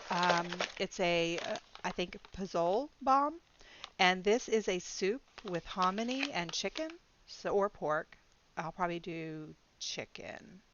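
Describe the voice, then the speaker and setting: A woman's voice: fairly low pitch, fairly bright tone, lo-fi sound, slight background noise. A middle-aged woman vlogging casually about her budget, rambling a little with frequent ums and restarts and laughing easily. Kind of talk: casual talk to camera.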